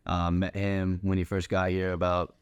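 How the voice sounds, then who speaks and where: A young man with a low voice hosts a casual podcast. He talks informally and haltingly, and the sound is dry and close with no room echo. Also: completely flat delivery, smooth. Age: young adult. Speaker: podcast host, college soccer player. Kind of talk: casual chat.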